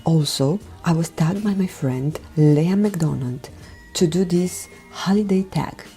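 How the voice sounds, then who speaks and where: A woman from Romania with a Romanian accent, speaking a gentle, careful voiceover for her makeup tutorial, often dropping near a whisper. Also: lowish voice, takes it slowly, husky. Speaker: beauty vlogger. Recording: voiceover with background music.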